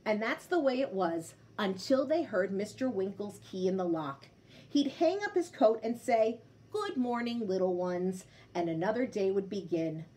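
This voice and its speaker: A woman in her thirties reading a storybook aloud to children with an expressive delivery. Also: medium pitch, normal speed. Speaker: storybook reader.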